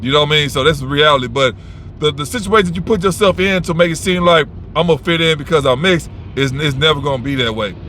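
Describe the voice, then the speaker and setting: A man's voice: fairly high pitch, fast talker, sharp tone, a little hiss or hum. A man giving advice in a casual, unscripted monologue, blunt and rambling, swearing freely and restarting his sentences.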